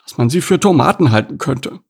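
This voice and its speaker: A man's voice: on the high side, lively intonation, fairly quick, creaky, darkish tone, slightly rough, voice pushed up, heavily acted. A man voicing a blunt, grumbling farm pig with sarcastic, exaggerated complaints in a storybook. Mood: grumpy, sarcastic. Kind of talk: storybook character voice.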